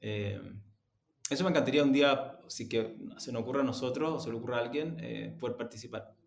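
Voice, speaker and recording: Male interview guest, thoughtful and halting, mid-pitched, recorded in an echoey room. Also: gravelly. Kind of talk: conversational interview answers. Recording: roomy, echoing sound.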